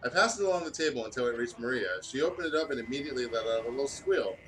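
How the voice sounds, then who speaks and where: A man's voice: medium pitch, lively intonation, very fast, fairly bright tone, faint music behind, low-quality recording. A man reading fan fiction aloud in a casual style as a co-host of a gaming video.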